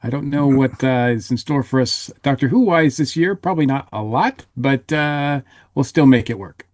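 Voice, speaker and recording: A man's voice: medium pitch, moderate pace, darkish tone, no room sound, studio quality. A man from the United States chatting casually as a regular podcast panelist. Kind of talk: casual chat.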